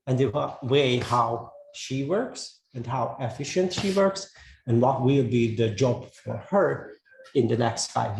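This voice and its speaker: A man whose first language is Hungarian gives a talk to a live audience, speaking slowly with many fillers and restarts. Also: mid-range voice, darkish tone. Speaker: speaker giving a talk on AI tools. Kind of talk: presentation to an audience. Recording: echoey room, faint noise.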